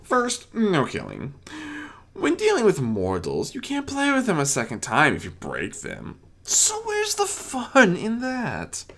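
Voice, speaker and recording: A man's voice: high-pitched, wildly swooping pitch, a bit bright, pitched above the performer's own voice, heavily acted. A man voicing a playful male chaos spirit, a theatrical trickster who teases and draws out his phrases. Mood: explaining. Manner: theatrical, teasing, drawn-out phrasing.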